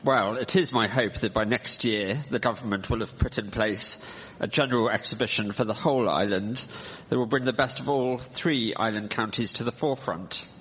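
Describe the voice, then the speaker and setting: Man as husky, blunt visiting gentleman, echoing. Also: lowish voice, normal speed. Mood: candid. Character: blunt, courteous visiting gentleman from town, 1870s. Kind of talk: amateur historical radio drama. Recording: long echoing hall reverb.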